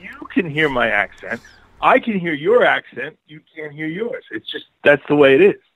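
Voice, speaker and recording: A man's voice: on the high side, very animated, moderate pace, a bit bright, husky, no echo, rough recording. Male radio guest, New York accent, rambling anecdotes, dry sound. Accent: Brooklyn/Long Island New York. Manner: rambling storyteller, laughs often, many fillers. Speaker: guest author and filmmaker. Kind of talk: casual radio interview.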